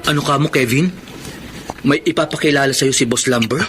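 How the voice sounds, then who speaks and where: An actor playing a good-natured, cautious friend in a radio drama, casual and mildly skeptical. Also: male voice, medium pitch, a little fast, fairly bright tone, soft background music, natural voice. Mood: easygoing. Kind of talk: Filipino radio drama acting.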